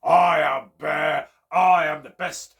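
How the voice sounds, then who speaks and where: A man voicing a boastful, proud bear who speaks in slow, loud, booming brags. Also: fairly even intonation, vocal fry, full character voice. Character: boastful big male bear, proud and blustering.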